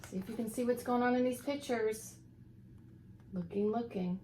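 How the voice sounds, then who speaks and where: Woman reading to preschoolers over noisy background. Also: a little high. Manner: expressive, pausing to explain and ask questions to young children. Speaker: preschool teacher. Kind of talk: children's picture-book read-aloud.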